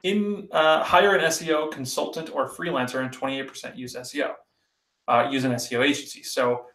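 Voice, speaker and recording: Casual male podcast host, mid pitch, rambling and loose, slightly raspy, echoey room. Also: steady tone, brisk, fairly bright tone. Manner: rambling and conversational, many fillers. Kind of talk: casual solo commentary.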